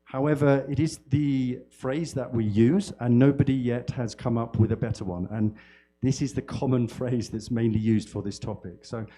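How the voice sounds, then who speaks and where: A man from the United Kingdom giving a university lecture as a guest speaker, with a loud voice and a friendly, halting delivery full of fillers and restarts. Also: mid-range voice, fairly even intonation, soft background music. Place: lecture hall. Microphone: handheld mic.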